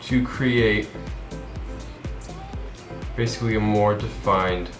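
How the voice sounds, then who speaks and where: A man's voice: on the low side, steady tone, takes it slowly, music in the background. A young man with a stuffed-up nose from a cold, chatting casually through a makeup tutorial with lots of fillers. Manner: chatty, rambling, many fillers. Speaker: makeup vlogger. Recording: roomy echo.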